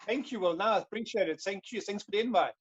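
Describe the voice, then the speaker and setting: An Austrian man with a notably high voice for a man answers interview questions, often hesitating and restarting his phrases. The sound is dry and close, with dull, lo-fi call quality. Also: quick pace, slightly rough, no echo. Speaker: hotel general manager.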